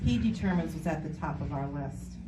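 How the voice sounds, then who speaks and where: Lower-voiced woman reading in an echoey hall. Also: animated, brisk, noisy background. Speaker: reader in a church reader's theater. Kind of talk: scripted reader's theater performance. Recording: echoey hall, distant mic, music behind.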